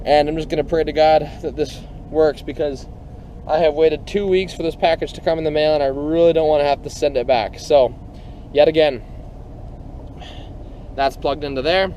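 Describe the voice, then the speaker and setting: A man giving a casual hands-on product demonstration, explaining informally and haltingly, step by step. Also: on the high side, fairly even intonation, dark tone, a little hiss or hum, low-quality recording. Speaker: product reviewer.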